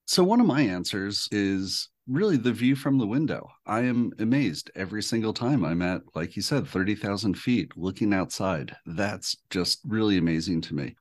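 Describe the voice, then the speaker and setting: Male podcast host from the US, low-pitched and easygoing. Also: a little mellow. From United States. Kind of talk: casual podcast chat.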